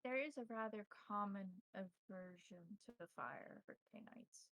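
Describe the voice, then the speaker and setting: Woman as a formal, creaky-voiced vampire mentor. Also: mid-range voice, a bit bright, dry recording, low-quality recording. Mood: cautioning. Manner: formal, deliberate, instructive. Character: measured, formal elder vampire mentor, cautious.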